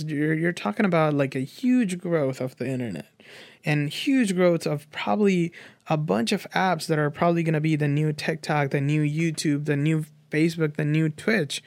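Man in his twenties, slightly high-pitched voice. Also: dry recording. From Nepal. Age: 20s. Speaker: interview guest. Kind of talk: casual conversational opinion.

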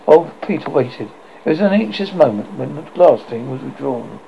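A man reading a classic children's novel aloud, expressive but stumbling and restarting often, on a muffled lo-fi recording with faint hiss. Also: mid-range voice, normal speed, vocal fry. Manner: expressive, with frequent slips and restarts. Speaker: audiobook reader.

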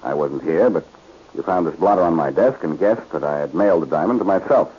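An actor playing a shrewd, commanding amateur sleuth in 1940s radio style, with a husky voice. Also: male voice, low-pitched, brisk. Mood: wary, commanding. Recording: muffled old radio recording.

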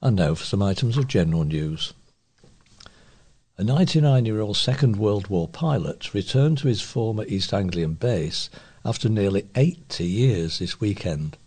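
A man's voice: on the low side, animated, normal speed, a little mellow, husky. A man reading scripted local news items as a volunteer newsreader.

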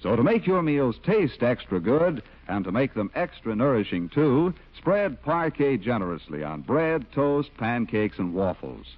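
A man's voice: medium pitch, very animated, unhurried, few pauses. A man announcing and narrating a scripted 1940s radio programme. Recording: dull vintage 1940s radio recording.

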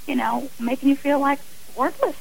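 A woman's voice: sits high, moderate pace, vocal fry, slight background noise, poor audio. A woman speaking as an author and guest on a radio interview, earnest and emphatic, often repeating phrases for stress.

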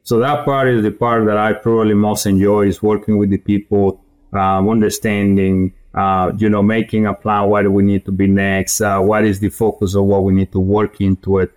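Man, low and flat. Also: clear, resonant tone. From Guatemala. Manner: halting, many fillers and restarts. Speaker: podcast guest, feed industry director. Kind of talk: conversational interview answers.

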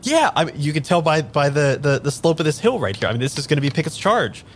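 An actor playing an eager, pushy man without his memory in a naturalistic improvised audio drama, halting and repeating himself. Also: on the high side, sing-song, a little hiss or hum. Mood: excited wonder. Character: eager amnesiac man, pushy, boyishly enthusiastic tarot querent.

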